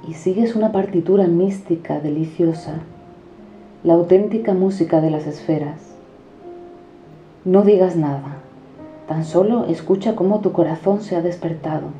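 Woman reciting poetry slow and solemn, roomy echo, soft music behind. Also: lowish voice. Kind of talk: declaimed spoken-word poetry. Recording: dull reverberant recording over music. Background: background music.

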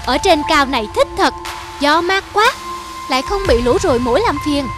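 A woman voicing a playful, careless young leopard cub in a children's cartoon, childlike and expressive. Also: high voice, moderate pace, over music. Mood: carefree, boastful.

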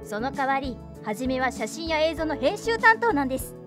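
A woman's voice: high-pitched, very animated, brisk. A woman playing an emotional young teenage girl in a Japanese audio drama. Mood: proud, cheerful. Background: background music.

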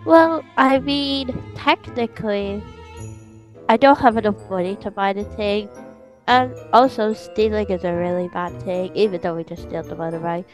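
A woman voicing a scheming, excitable older child in an improvised roleplay, talking in exclamations and conspiratorial rambles. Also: mid-range voice, normal speed, natural voice. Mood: excited, sneaky. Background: music.

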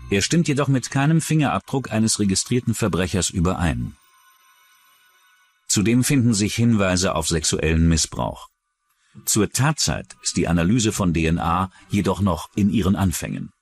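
Low-pitched male true-crime narrator. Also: lively intonation, normal speed, husky, faint music behind. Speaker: documentary narrator.

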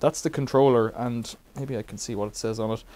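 A man's voice: mid-range voice, fairly even intonation, fairly quick, dark tone. A middle-aged man casually demonstrating a bike repair in a cluttered workshop, rambling with wry asides.

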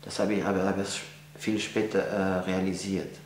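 French-accented man, low voice, echoey room. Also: level tone. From France. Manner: halting, many fillers and restarts. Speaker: interviewee, painter. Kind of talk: casual interview answers. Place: art gallery, indoors. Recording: echoey room, faint hum.

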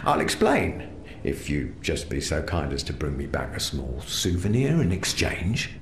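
An actor playing a shady, mocking crime boss in a video game, smug and conspiratorial. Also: male voice, rumbling low, sing-song, measured pace, roomy sound, a little hiss or hum, exaggerated voice. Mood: sly. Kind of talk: video game character acting.

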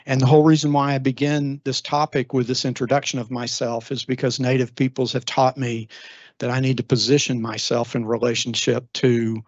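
A middle-aged man speaks earnestly into a handheld mic, pausing with ums as he thinks aloud in front of a live audience. His voice is mid-pitched and dry, a little muffled in tone. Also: little pitch movement, a little mellow, dry recording. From Texas, USA. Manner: earnest, thoughtful, many ums and restarts. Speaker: guest lecturer. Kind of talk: informal lecture. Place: library meeting room. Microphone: handheld microphone.

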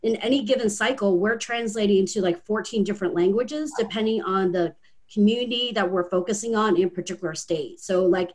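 A woman's voice: mid-range voice, a little fast, a little mellow. A woman in her forties, a nonprofit director speaking earnestly as a panel guest on a video call from home, with frequent ums.

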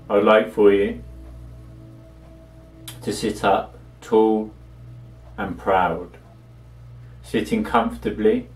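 A man with a low, level voice guides a meditation in a measured, soothing way. He leaves long pauses between short instructions. The sound is echoey and set back from the microphone. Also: far from the mic.

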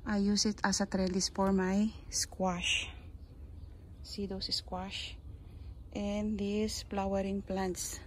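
A woman with a Filipino accent, Kapampangan by birth, casually showing her backyard garden and tools, pausing and searching for words. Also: mid-range voice, little pitch movement, a little hiss or hum. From Philippines. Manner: slow, halting, searches for words. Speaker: gardening vlogger. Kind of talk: casual show-and-tell. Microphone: handheld phone, speaker off camera.

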